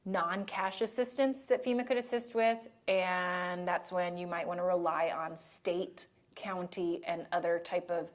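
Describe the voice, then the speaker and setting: A woman leading a training session on disaster assistance, talking casually and checking in with the group as she goes. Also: fairly low pitch. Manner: conversational, checks in with "right?" and "okay?". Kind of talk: training presentation.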